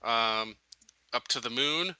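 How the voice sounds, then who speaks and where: A man's voice: medium pitch, slow pace, sharp tone, clear, resonant tone, no room sound. A man playing a tabletop roleplaying game, talking through plans in a casual, conversational way. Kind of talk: casual tabletop planning chat.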